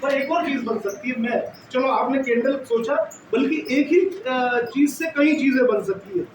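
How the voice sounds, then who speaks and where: A man's voice: extremely high pitch, unhurried. A man giving informal, encouraging step-by-step instruction as a drawing teacher. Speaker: cartoonist teaching a children's drawing workshop. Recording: echoey hall, distant mic, noisy.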